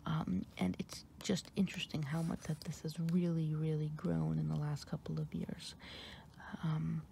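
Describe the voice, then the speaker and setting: A woman from New York with an American accent, softly whispering an ASMR ramble with many fillers and pauses. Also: flat delivery, gravelly, slight background noise. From New York, USA. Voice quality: deep voice for a woman. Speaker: ASMR creator.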